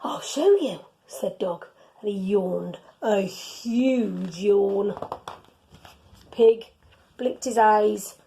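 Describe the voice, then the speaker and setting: A woman reading a children's storybook aloud in an expressive, teacherly way. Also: mid-range voice, drawn-out words, gravelly, long pauses.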